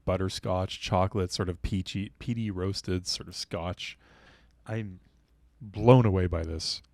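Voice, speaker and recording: A man's voice: deep voice, fairly even intonation, a little slow, vocal fry, darkish tone, dry recording. A man co-hosting a casual beer tasting, raving enthusiastically about what he smells and tastes. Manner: enthusiastic, effusive. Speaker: beer reviewer, co-host.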